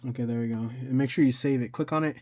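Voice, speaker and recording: A man giving an informal step-by-step software tutorial, thinking aloud and somewhat hesitant as he goes. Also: mid-range voice, fairly even intonation, racing. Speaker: tutorial host.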